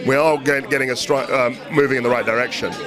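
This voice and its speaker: A man's voice: mid-range voice, steady tone, quick pace, over music. A man, a politician, answering interview questions hesitantly, repeating and restarting words.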